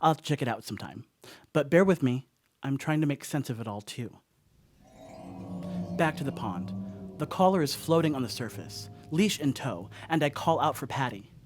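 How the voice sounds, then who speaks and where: An actor playing a rattled, rambling stoner dog sitter in a radio play monologue, telling a story conversationally with wry asides. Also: male voice, medium pitch, animated, normal speed, music in the background, close to an everyday voice. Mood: rambling, uneasy.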